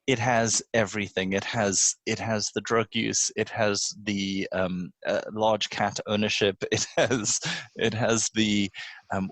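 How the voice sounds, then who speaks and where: A man's voice: on the low side, fairly bright tone, no room sound. A man hosting a casual podcast chat in an irreverent, wry way, with some casual swearing. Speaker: podcast host.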